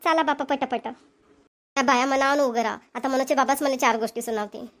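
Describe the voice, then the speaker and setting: A bossy, punctual village woman who leads a farm gang in a cartoon comedy, voiced by a woman. She chides and hurries in a high voice with a Varhadi Marathi accent. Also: fairly even intonation, brisk, a bit bright. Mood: impatient, chiding. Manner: chiding, hurrying.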